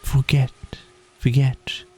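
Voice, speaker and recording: A man's voice: medium pitch, completely flat delivery, slow pace, mellow, slightly rough, soft background music. A man voicing a dreamy, languid, poetic male water rat in a storybook, with a murmuring, rapt manner. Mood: hushed, entranced.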